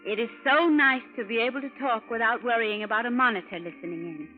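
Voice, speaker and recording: An actress playing a polite, gushing young wife from the future, prim and formal in 1940s radio style. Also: female voice, a little high, fast talker, soft background music, close to an everyday voice. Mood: prim, pleasant. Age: 20s. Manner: prim, formal, precise, few contractions. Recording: narrow, muffled vintage radio recording.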